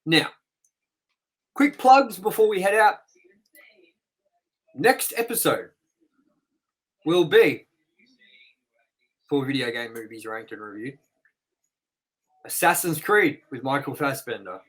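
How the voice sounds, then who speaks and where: A man hosting a review show, rambling and excitable as he wraps up the episode with plugs. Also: on the high side, slow, long pauses, poor audio. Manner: rambling and excitable, with sound-effect noises. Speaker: host. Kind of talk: casual episode wrap-up with plugs.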